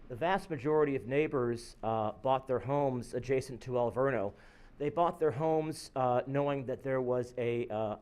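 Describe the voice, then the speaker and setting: A man, a local resident, giving a measured public comment at a city planning meeting to correct an earlier point. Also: mid-range voice, a little mellow. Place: council chamber podium.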